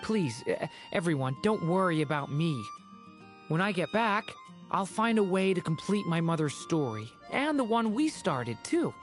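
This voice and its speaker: An actor playing a gentle, earnest and hesitant young male character in a video game. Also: mid-range voice, sing-song, moderate pace, lightly acted. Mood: grateful, resolved. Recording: music behind the voice.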